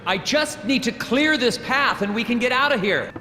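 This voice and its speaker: An earnest government agent from a video game, with a high, swooping voice. He is polite and eager to do right. The sound is echoey, with clear noise behind it. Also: lively intonation, moderate pace, sharp tone, exaggerated voice. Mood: reassuring, focused. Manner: earnest, deferential. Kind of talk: animated video game cutscene acting. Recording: noisy, echoey with long reverb.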